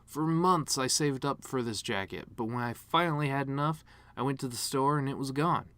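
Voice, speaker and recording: Casting: a man playing a grieving, bitter male horse delivering a eulogy monologue; medium pitch, sardonic and self-deprecating, dry recording. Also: moderate pace, clean tone, no room sound, close to an everyday voice. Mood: wistful, sardonic. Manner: sardonic, rambling, self-deprecating deadpan humor. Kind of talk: dramatic monologue reading.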